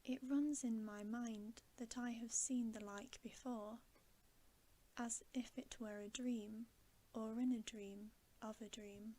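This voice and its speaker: A woman voicing a thoughtful young king in a storybook, softly, with grave, formal, musing phrasing. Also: fairly high pitch, fairly even intonation, slow pace. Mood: musing, uneasy. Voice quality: soft, hushed.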